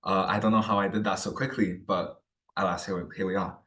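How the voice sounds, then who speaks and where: A teenage boy giving a student research presentation over a video call, hesitant with many pauses and fillers. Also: low voice, fairly even intonation, fairly bright tone, far from the mic. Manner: hesitant, many ums and uhs. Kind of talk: presentation talk.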